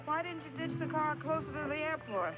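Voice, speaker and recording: Young American woman, sarcastic, skeptical, animated intonation, vintage film noir, music underneath. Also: high voice, a little fast, low-quality recording. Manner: dry, sarcastic. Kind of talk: 1950s film noir acting.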